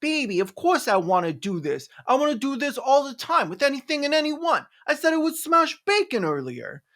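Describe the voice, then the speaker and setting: An eager, lustful guy pitched up for comic effect, as put on by a reviewer. It is a mocking parody of a film character. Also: sing-song, moderate pace, a bit bright, heavily acted.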